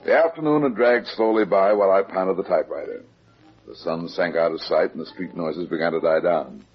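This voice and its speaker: An actor playing a wry, heavyset private detective, dry and laconic, in a 1950s radio noir drama. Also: male voice, deep voice, fairly quick, slightly rough, faint music behind. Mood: weary, reflective. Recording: muffled vintage radio recording.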